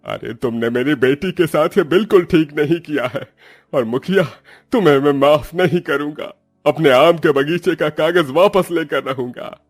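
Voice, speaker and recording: A man's voice: fairly high pitch, moderate pace, faint music behind, pitched above the performer's own voice, natural voice. A man voicing an outraged, vengeful father in a cartoon story, angry and threatening. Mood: furious.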